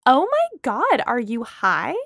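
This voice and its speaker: Brash young female friend acted by a woman narrator, audiobook, blunt delivery, higher register, dry recording. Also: very animated, measured pace, no room sound. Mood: incredulous. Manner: blunt, emphatic.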